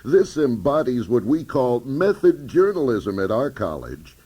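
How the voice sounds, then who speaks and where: A husky male professor, acted by a man with a mid-pitched voice. He is pompous and prickly, speaking in haughty, wordy sentences in a radio comedy play. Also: very animated, measured pace, mellow. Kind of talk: satirical radio theater interview.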